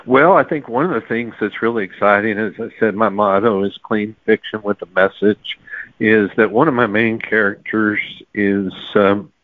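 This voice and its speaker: A man's voice: on the low side, moderate pace, no echo. A man speaking conversationally as an author being interviewed, on a narrow-band call. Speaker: novelist, interview guest.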